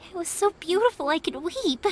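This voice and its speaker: A woman playing a cheerful, warm-hearted young fireworks maker who talks in a bubbly, earnest way. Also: extremely high pitch, animated, brisk, a little hiss or hum. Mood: joyful. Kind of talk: video game voice acting.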